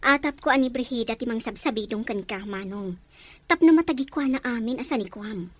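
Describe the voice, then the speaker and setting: Woman acting a high-voiced, concerned younger sibling. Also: animated, a little fast, no room sound. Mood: worried. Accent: Ilocano. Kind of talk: Ilocano radio drama acting.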